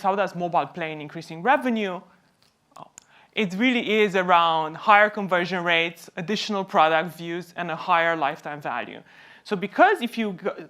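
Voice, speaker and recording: Woman doing a conference talk, lowish voice, from home, explains stuff by asking questions then answering. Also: a bit bright, lo-fi sound. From Romania. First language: Romanian. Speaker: company co-founder presenting at a conference. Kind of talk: conference presentation.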